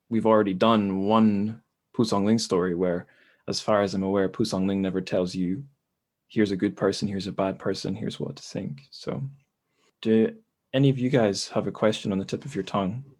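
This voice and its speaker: Guy with a lowish voice hosting a casual podcast chat, kinda thinking out loud. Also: fairly even intonation, normal speed. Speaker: podcast host. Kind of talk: casual panel discussion.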